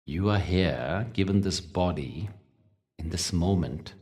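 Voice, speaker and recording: Guy with a really low voice doing guided meditation, super slow and gentle, lots of pauses. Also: animated, far from the mic. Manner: unhurried, gentle, with long pauses between short phrases. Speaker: meditation teacher. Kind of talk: guided meditation with spiritual talk.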